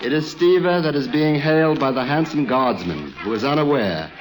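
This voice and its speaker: A man's voice: fairly high pitch, lively intonation, moderate pace, low-quality recording. A man narrating an old-time radio play in a grand, dramatic, florid manner.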